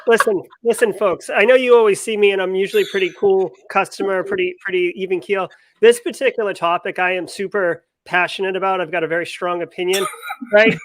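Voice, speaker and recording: A man, a cybersecurity expert on a live panel, speaking in an animated, emphatic way over a video call. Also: high voice, fairly quick, no room sound. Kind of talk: energetic panel discussion.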